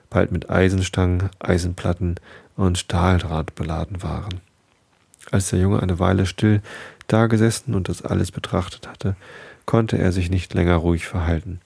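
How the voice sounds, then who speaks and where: A man with a nasal head cold reads a bedtime story in a calm, unhurried way. His voice is very low and dark, with a husky, gravelly grain. The close podcast mic gives a dry, intimate sound. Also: no echo. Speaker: podcast host.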